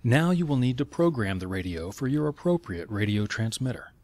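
A man narrating scripted instructions in clear, step-by-step phrasing. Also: medium pitch, expressive, brisk, darkish tone, dry recording.

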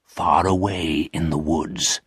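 Storybook ghost, acted by a man: centuries old, lonely and solemn, very husky, speaking slowly. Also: a bass voice, steady tone, dry recording, clean studio sound, pitched below the performer's own voice, exaggerated voice. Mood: wistful, hushed. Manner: slow, weary, solemn delivery.

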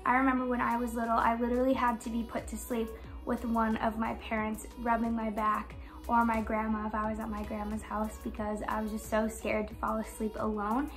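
High-voiced young woman reading to children. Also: completely flat delivery, fairly quick, soft background music. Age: young adult. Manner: addresses young listeners with questions. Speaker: children's read-aloud host, education student. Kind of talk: expressive picture-book read-aloud and casual chat to children. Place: small living room at home, on a couch.

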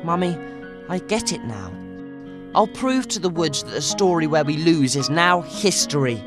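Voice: medium pitch, sing-song, moderate pace, music in the background, lightly acted. A performer voicing an eager, indignant rabbit child in a cartoon, with a childlike manner. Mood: determined.

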